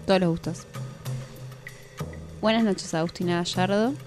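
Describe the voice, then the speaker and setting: A woman's voice: lowish voice, moderate pace, a little mellow. A woman with an Argentine accent hosting a radio show in a playful, bantering style. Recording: prominent music under the voice. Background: clear background music.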